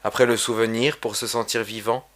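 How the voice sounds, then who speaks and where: A man reading his own poem aloud in a solemn, measured, literary recitation. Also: medium pitch, flat delivery, fairly bright tone. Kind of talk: poetry recitation.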